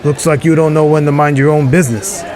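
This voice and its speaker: A man's voice: on the high side, fairly even intonation, quick pace, noisy background, close to an everyday voice. An actor playing a menacing gangster thug in a 1940s radio crime drama, with taunting, slangy tough-guy talk. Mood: threatening.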